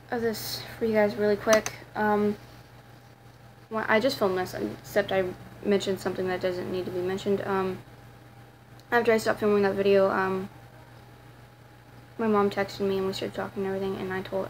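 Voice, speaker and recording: Raspy teenage girl vlogging. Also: mid-range voice, a little hiss or hum, rough recording. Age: teens. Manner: rambling, many fillers and restarts. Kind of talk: casual confessional vlog.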